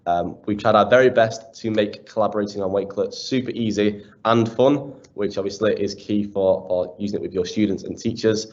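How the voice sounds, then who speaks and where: A man's voice: lowish voice, steady tone, fairly quick, few pauses, darkish tone. A man hosting a webinar, introducing the speaker in an upbeat, chatty way with fillers. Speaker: webinar host. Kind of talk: casual introduction. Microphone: video call. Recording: muffled online call audio.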